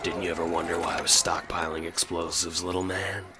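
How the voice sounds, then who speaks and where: A man's voice: sits low, flat delivery, a little fast, heavy background noise, lightly acted. A man playing a cocky, cryptic older brother in an audio drama, terse and smug.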